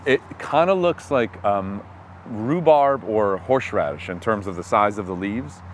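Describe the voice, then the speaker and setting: A man's voice: on the low side, animated, unhurried, slight background noise. A man hosting a casual outdoor vlog, explaining wild plants with enthusiasm as he walks through the woods. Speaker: host. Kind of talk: casual outdoor foraging talk.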